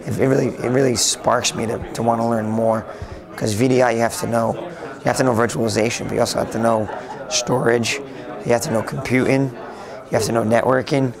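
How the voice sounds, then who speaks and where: A man being interviewed casually at a convention, talking with a raspy, slightly hoarse voice and rambling with lots of restarts. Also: medium pitch, level tone, fairly quick. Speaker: interviewee, tech blogger. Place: busy convention floor. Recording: noisy crowded convention hall. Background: crowd chatter.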